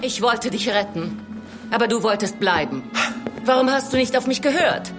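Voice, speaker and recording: Woman doing a young vampire girl, high voice that swoops around, pleading and possessive, horror radio play. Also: expressive, moderate pace, over music, close to an everyday voice. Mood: luring, possessive. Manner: imploring, luring. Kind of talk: German audio drama acting.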